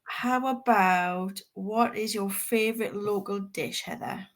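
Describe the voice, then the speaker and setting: A woman's voice: medium pitch, slow. A woman with a Geordie accent co-hosting a podcast in casual, colloquial chat. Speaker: podcast co-host.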